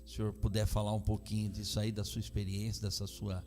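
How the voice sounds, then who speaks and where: A man leads a faith conversation as host, warmly affirming his guest with frequent interjections of agreement. He asks questions in an easy conversational way. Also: on the low side, level tone, quick pace, faint music behind. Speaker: pastor and interviewer.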